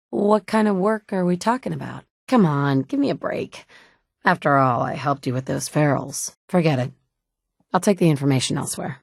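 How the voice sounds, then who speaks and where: A terse, sardonic adventurer. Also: female voice, lowish voice, darkish tone, no echo. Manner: terse, dry, sardonic delivery. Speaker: player character. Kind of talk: video game dialogue.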